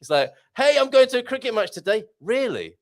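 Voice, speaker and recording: A man's voice: very high-pitched, big pitch swings, brisk, noisy background. A man hosting a live chat show, joking around, laughing often and reading out viewer comments. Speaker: host.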